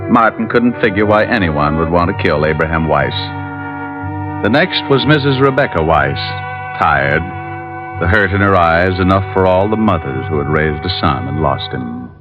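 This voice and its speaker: Hard-boiled detective, very low voice, laconic delivery, dry tone, old radio crime drama, muffled vintage recording. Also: male voice, expressive, moderate pace, music in the background. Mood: matter-of-fact. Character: laconic hard-boiled male detective narrating his case. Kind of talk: 1940s-50s radio detective narration and dialogue. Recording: dull, band-limited old radio recording.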